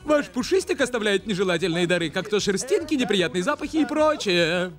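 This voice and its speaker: Casting: bossy cartoon bird presenter, squeaky-high and lively, announcer delivery. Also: male voice, brisk. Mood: presenting. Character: excitable cartoon bird show director and host. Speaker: host. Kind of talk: animated cartoon dubbing. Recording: music under the voice.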